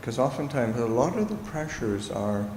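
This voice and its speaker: A middle-aged Canadian-raised monk answers questions slowly and thoughtfully, pausing often. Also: male voice, medium pitch, very animated, a little mellow. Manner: slow, halting, thoughtful speech with pauses. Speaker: Buddhist monk and teacher. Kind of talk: Dharma talk Q&A answer. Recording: reverberant hall with faint noise.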